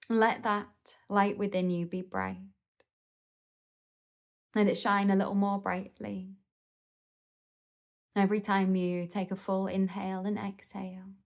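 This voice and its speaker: Gentle woman guiding a meditation. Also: medium pitch, little pitch movement, gravelly. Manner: gentle, soothing, unhurried with pauses. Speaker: guided meditation teacher. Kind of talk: guided meditation narration.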